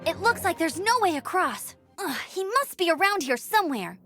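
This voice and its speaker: Casting: spirited, defiant young outrider knight in an anime game; very high voice, animated delivery. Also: female voice, normal speed, faint music behind, full character voice. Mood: eager. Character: plucky, determined young knight outrider girl, gliding champion. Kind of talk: anime-style video game voice acting.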